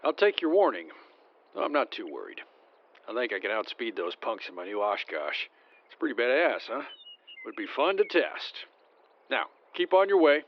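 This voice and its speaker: A man playing a brisk, cocky American military scout in an audio drama. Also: mid-range voice, very animated, muffled recording. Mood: cocky, breezy.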